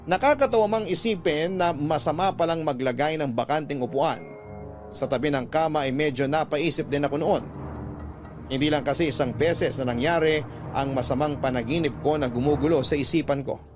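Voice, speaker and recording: Actor as a reflective, higher-pitched male letter narrator. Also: music in the background, lightly acted. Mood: pensive. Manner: reflective, measured storytelling. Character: troubled young male letter sender recounting his haunting. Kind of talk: first-person dramatic narration of a radio letter.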